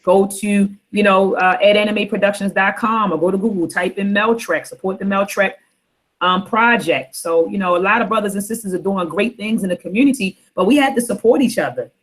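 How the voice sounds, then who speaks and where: A woman's voice: medium pitch, brisk. A woman hosting a casual online book club chat, rambling and repeating herself. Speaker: host.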